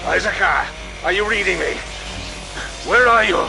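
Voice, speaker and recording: A man acting a stern, proud superhuman knight commander in an audio drama, grave, defiant and gravelly. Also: sits high, normal speed, over music, low-quality recording. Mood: urgent calling.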